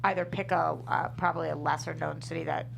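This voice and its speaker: Woman from boston chatting on a panel, lots of vocal fry, noisy background. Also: sits low, fairly even intonation, quick pace. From Boston, United States. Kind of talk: casual feedback chat. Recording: clear background noise.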